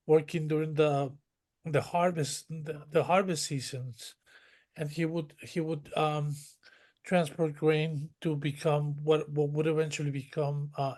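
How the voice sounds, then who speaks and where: A man speaks as an interview guest. His voice sits fairly high for a man. He winds through his memories in long, detailed stretches, doubling back and restarting his sentences as he goes. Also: darkish tone. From northern Mexico. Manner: rambling, detailed storytelling with many restarts. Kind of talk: conversational interview.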